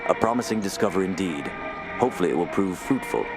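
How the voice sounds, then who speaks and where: A man's voice: deep voice, expressive, fast talker, over music. A man playing a courteous, scholarly archaeology professor with formal, intrigued speech in a period radio drama.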